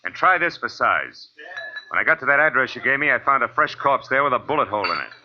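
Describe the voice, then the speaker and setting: An actor playing a hard-boiled, sardonic private detective in a clipped 1940s radio drama style. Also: male voice, medium pitch, sing-song, fast. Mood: hard, accusing. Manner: clipped, sardonic tough-guy delivery. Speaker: lead actor and narrator. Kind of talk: 1940s radio detective acting, first-person narration. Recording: dull old radio recording.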